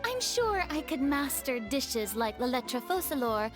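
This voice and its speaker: Haughty dramatic young goddess, high voice, formal and theatrical, game character. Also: female voice, sing-song, unhurried, over music. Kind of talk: game voice acting.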